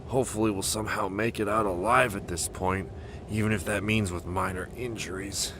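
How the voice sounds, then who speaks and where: A man's voice: on the low side, fairly even intonation, a little fast, noisy background, natural voice. A man playing a sarcastic, reluctant teenage adventurer in an amateur script read, exasperated and argumentative. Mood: bitter, strained. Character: grumbling, sarcastic, reluctant male teen adventurer.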